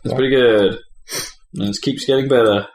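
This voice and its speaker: A man hosting a casual podcast discussion, thinking aloud in halting, emphatic phrases. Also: medium pitch, steady tone. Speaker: podcast host presenting award categories. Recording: roomy, faint background noise.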